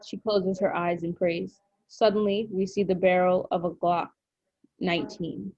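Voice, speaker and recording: A woman's voice: lowish voice, little pitch movement, a little slow, a little mellow, no echo. Dry, dull-sounding woman reading stage directions. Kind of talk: scripted narration at a table read.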